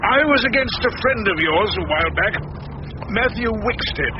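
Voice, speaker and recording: An actor playing a shrewd, sardonic older defence barrister in a radio drama, speaking with dry, sly courtesy. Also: male voice, high-pitched, expressive, normal speed. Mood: sly, persuasive. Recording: dull, noisy lo-fi radio recording.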